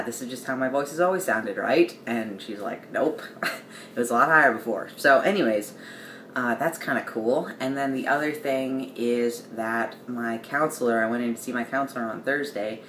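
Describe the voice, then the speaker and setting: Young man, casual vlog, rambling, mid pitch, roomy room echo. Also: a bit bright. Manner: rambling, many ums and restarts, light laughs. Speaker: vlogger. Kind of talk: casual video diary. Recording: roomy echo with faint background hiss.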